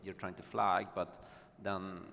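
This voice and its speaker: A man's voice: sits low, little pitch movement, takes it slowly, creaky, pushed and bright. A man with a Swedish accent speaking conversationally on a conference panel, often searching for words. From Sweden. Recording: conference hall reverb with faint noise.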